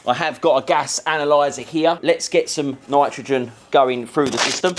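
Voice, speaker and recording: A man's voice: a little high, normal speed. A man in his forties with a British accent, explaining a repair step by step in a casual, matey way. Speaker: car mechanic and video presenter. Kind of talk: hands-on how-to demonstration, casual. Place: cluttered car repair garage, indoors. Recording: clear workshop background noise.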